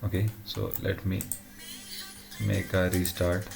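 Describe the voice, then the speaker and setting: Man, deep voice, creaky, halting tutorial delivery, frequent tag questions, informal demo style. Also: little pitch movement, music in the background. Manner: halting, repeatedly checks in with short tag questions. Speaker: tutorial presenter. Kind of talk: informal technical demo walkthrough.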